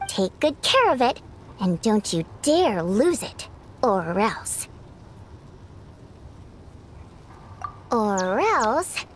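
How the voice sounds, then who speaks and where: A woman's voice: high voice, slight background noise. A woman voicing a spirited young stage performer in a video game, theatrical, teasing and affectionately bossy.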